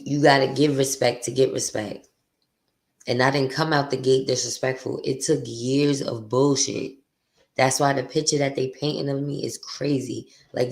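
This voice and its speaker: A woman with a deep voice hosting her podcast, venting bluntly and profanely in a candid, confessional monologue. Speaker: podcast host.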